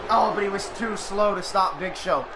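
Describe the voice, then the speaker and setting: A young man doing play-by-play commentary on a video game, excitable and bursting into shouts on big moves, his voice going hoarse and cracking into coughs. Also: high-pitched, moderate pace, noisy background. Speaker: host commentating a simulated game.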